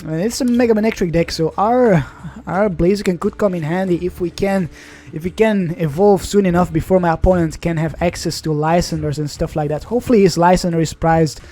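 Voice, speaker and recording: A man's voice: sits high, a little fast, few pauses, mellow. A young man whose first language is Dutch, casually commenting on an online card game in a chatty, rambling way, often correcting himself. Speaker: gaming channel host.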